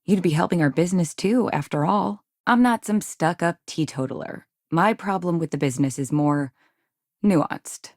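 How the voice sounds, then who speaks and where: An ambitious frontier businessman's wife in a video game, her voice low and touched with gravelly creak. She sounds polished and earnest, chafing with exasperation at being held back. The recording is close and dry. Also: moderate pace, a little mellow, no echo. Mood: frustrated. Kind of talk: video game dialogue acting.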